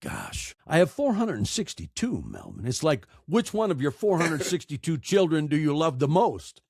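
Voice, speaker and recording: A man's voice: fairly high pitch, wildly swooping pitch, normal speed, creaky, darkish tone, breathy edge, dry recording. Man telling anecdotes in a dry-sounding interview. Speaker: podcast guest, storyteller and narrator. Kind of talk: casual interview conversation, anecdotal.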